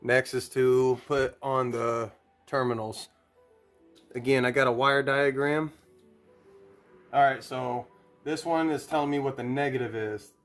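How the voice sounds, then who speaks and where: A man's voice: mid-range voice, steady tone, a little slow, pauses often, faint music behind. A man hosting a DIY video, talking casually and plainly through each step as he works. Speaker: host of DIY car audio videos. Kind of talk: casual hands-on tutorial narration.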